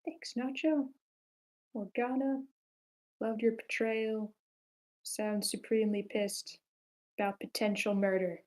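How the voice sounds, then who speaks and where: Higher-pitched, softly mellow woman moderating. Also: fairly even intonation, takes it slowly, long pauses. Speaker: host of an online screenplay table read. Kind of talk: casual moderating of group feedback.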